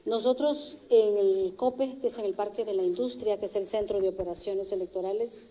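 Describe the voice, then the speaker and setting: A woman electoral official answering at a press conference, formal and measured with some hesitations. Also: medium pitch, dark tone, rough recording.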